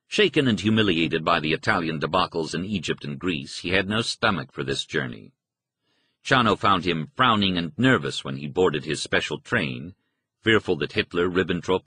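Low, gravelly-edged male audiobook narrator. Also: sharp tone, dry recording. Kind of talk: scripted narration of a history book.

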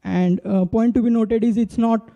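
A man in his thirties with an Indian accent giving an informal demo talk as a conference speaker, haltingly, into a handheld microphone. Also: extremely high pitch, fairly even intonation, creaky, warm tone. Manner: halting, hesitant delivery. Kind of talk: informal flash talk with live app demo. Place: indoor conference stage. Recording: dull, muffled stage recording.

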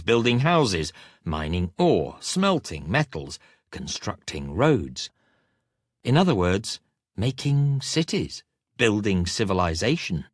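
A man reading a nonfiction audiobook in measured, deliberate phrases, leaning on each item of a list. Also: lowish voice, no room sound, studio quality. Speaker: audiobook narrator. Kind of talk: scripted nonfiction audiobook narration. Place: recording studio.

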